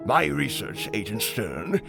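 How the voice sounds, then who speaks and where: A man acts out an idealistic older scientist in a comic dub. The voice is creaky and very animated in pitch, yet measured and formal in delivery. Music is clearly audible underneath. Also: heavily acted. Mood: earnest. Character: kindly, idealistic older male robot scientist. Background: prominent music.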